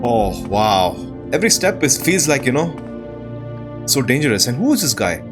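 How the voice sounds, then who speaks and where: A man hosting a game playthrough, giving casual, expressive commentary with a sense of wonder. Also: medium pitch, moderate pace. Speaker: host of a game playthrough channel. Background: new-age music behind the voice.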